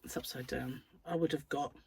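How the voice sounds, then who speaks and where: A woman hosting a crochet channel, chatting in a rambling, self-mocking way at home while her voice is croaky from a cold. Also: extremely low pitch, fairly even intonation, moderate pace, heavy background noise. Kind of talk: casual chatty vlog. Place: at home, seated in a chair.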